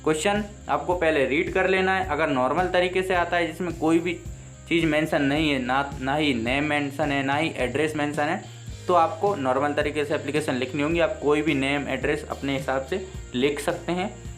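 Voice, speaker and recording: An Indian-accented man, a native Hindi speaker, gives an exam lesson in a fairly high voice. He explains step by step and repeats key points in an instructive manner. Faint music plays behind him. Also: a bit bright. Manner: instructive, repeats points, slow deliberate read-outs. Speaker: teacher.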